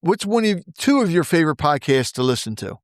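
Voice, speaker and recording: A man hosting a podcast interview, easygoing and quick to laugh as he tells anecdotes. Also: a little high, big pitch swings, dry recording, clean studio sound. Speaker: podcast host. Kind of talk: casual interview chat.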